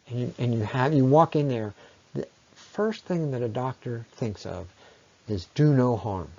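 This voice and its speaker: A man's voice: medium pitch, mellow. Man giving earnest talk, dull lo-fi sound. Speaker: speaker presenting against a road project. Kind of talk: persuasive public presentation, semi-scripted.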